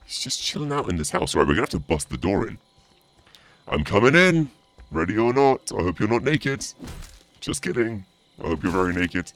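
Very deep, gravelly man through a voice changer. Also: sing-song, a little fast, a bit bright. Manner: theatrical mock-menacing taunts mixed with muttering. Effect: pitched-down voice changer. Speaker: streamer playing a game as the killer. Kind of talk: livestream game commentary, taunting and sweary.

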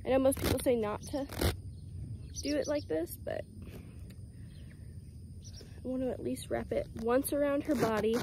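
Young southern girl vlogging outside by the pens, kinda high voice, lots of ums, faint farm noise behind. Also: moderate pace, long pauses. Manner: chatty, lots of "um", soft and soothing with the animals. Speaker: vlogger caring for farm animals. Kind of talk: casual how-to vlog. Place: outdoors on a farm by animal pens. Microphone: phone camera held close, selfie framing.

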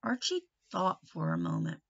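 A middle-aged woman reading a children's picture book aloud expressively, pausing for questioning asides to young listeners. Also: on the low side, very animated, gravelly, husky, highs cut off. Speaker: storybook reader. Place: small wood-panelled room indoors.

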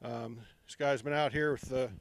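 A middle-aged man giving a relaxed walking tour of an outdoor construction site, speaking into a handheld microphone with many ums. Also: mid-range voice, normal speed, no echo.

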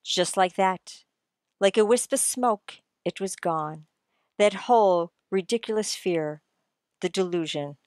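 A woman's voice: medium pitch, takes it slowly, darkish tone, dry recording, clean studio sound. A woman narrating a suspense radio play in a dramatic, scripted storytelling style.

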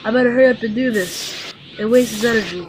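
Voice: medium pitch, music in the background, lightly acted, rough recording. A performer playing a battle-eager martial arts mentor in an improvised anime-style game roleplay, drawing out attack names. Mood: confident. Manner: shouts drawn-out attack names. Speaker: player and host of a gaming roleplay video.

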